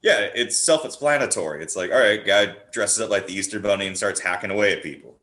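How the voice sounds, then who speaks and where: An echoey room surrounds this low male voice. He talks in a casual, enthusiastic way, like a podcast guest, spinning out rambling stories and doubling back on his words. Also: fast, fairly bright tone. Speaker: podcast guest, horror playwright. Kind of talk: casual enthusiastic film chat. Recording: roomy, echoing sound.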